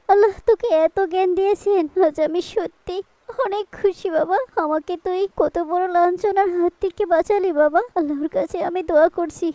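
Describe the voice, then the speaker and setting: A woman's voice: extremely high pitch, dark tone, no room sound. A woman playing a devout, loving, anxious village mother in a cartoon, plaintive and tender. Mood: grateful, praying. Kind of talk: cartoon voice acting.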